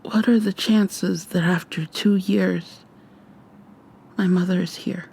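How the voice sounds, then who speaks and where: A woman playing a defiant, frightened young human captive in an intimate, hushed, breathy ASMR roleplay, tense and sweary. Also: on the low side, steady tone, slow, heavily acted. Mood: urgent, hopeful. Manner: tense, profane, confrontational.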